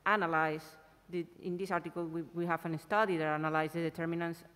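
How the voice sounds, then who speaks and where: A woman's voice: on the low side, steady tone, fairly bright tone, clear, resonant tone. Woman chairing a panel in a large hall. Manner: brisk, hesitant fillers while reading the introduction. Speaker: session chair. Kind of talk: introducing speakers. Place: conference hall, at a panel table. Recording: long reverb of a large hall.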